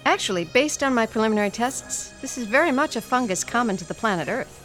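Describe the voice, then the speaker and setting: An actress playing a precise crime lab scientist who talks crisply. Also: female voice, a little high, expressive, fast, a bit bright, faint music behind.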